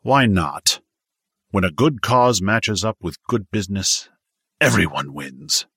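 A male narrator plays a rich, domineering businessman from a period novel. He speaks in a forceful, blustering way, certain he is right. The sound is dry and close. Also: low voice, lively intonation, slow, husky, dry recording, heavily acted. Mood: emphatic, defiant. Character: brash, domineering wealthy businessman and father-in-law.

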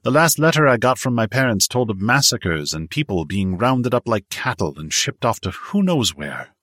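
A male narrator plays a bitter businessman in an audiobook drama of ideas. He is earnest and insistent, his pitch pushed up. The recording is dry. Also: very animated, normal speed, a bit bright, no echo. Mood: bitter, urgent. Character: bitter, indignant businessman son of Polish parents.

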